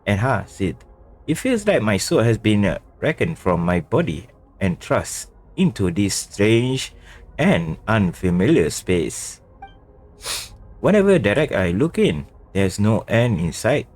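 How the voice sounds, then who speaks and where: A male game streamer reading dialogue aloud during a playthrough in a halting, stumbling manner. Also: on the low side, very animated, a little mellow.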